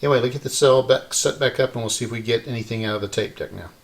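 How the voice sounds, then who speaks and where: A man's voice: medium pitch, racing, creaky. A man giving a casual hands-on repair walkthrough, unscripted and thinking aloud as he works. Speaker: repair channel host.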